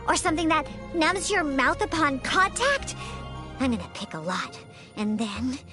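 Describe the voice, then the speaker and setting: A woman's voice: sits high, fairly bright tone. A woman voicing a proud, grumpy young cat-girl bartender in a video game, huffy and stammering when flustered. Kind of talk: video game character voice lines.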